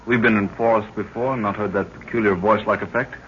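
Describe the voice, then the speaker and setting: Actor as a young adventurer, lowish voice. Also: male voice, fairly even intonation, quick pace, fairly bright tone, lightly acted. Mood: curious. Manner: inquisitive, deferential. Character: eager, respectful young man, jungle expedition companion. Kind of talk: 1930s radio adventure acting. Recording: muffled, noisy 1930s radio recording.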